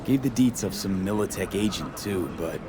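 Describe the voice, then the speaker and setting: A man's voice: low voice, animated, moderate pace, noisy background. An actor playing a direct, cool-headed young male mercenary in a video game, speaking in terse, matter-of-fact lines. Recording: game audio over loud music.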